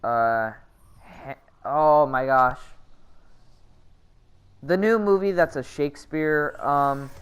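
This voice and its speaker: A man's voice: a little high, takes it slowly, pauses often, clean tone. A man giving casual, rambling commentary as a gaming vlogger, often hesitating and correcting himself in slangy speech.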